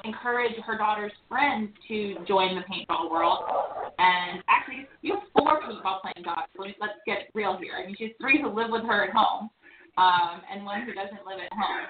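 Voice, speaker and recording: A woman hosting a casual recap show, chatting and teasing callers. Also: mid-range voice. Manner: chatty, teasing, quick asides. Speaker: host. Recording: echoey, tinny phone line with faint noise.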